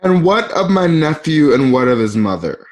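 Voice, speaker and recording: A man acting a cruel, coldly threatening Roman emperor in a radio play, slow and theatrical with pauses. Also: a little high, very animated. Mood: cold menace. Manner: slow, theatrical, pausing between phrases.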